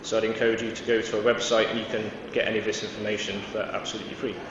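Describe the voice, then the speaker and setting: A man's voice: lowish voice, flat delivery, very fast, far from the mic. A man from Wales giving a conference presentation, hesitant, with many fillers and restarts. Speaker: conference speaker. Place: large conference hall. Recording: echoey hall, dull sound, noisy.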